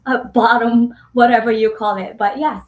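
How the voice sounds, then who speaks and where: A woman's voice: a little high, steady tone, moderate pace, darkish tone, roomy sound. A woman hosting a casual live-stream chat, chatty, laughing often and restarting her sentences.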